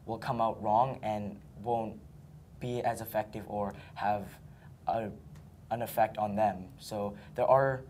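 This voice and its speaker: A man's voice: fairly low pitch, a little mellow, slight background noise. A young teen male student in eighth grade answering interview questions earnestly, sometimes restarting as he searches for words. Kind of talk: classroom interview.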